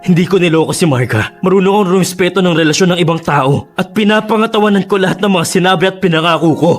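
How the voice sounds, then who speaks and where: An actor playing an earnest, hurt and principled man in a Tagalog radio drama, speaking in an emotional, halting way. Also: high voice, faint music behind, natural voice. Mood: hurt, accusing. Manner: emotional, pleading, halting delivery.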